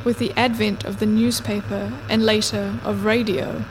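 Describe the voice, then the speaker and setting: A woman reading scripted narration for a radio play in a measured, deliberate way. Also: medium pitch, flat delivery, background noise.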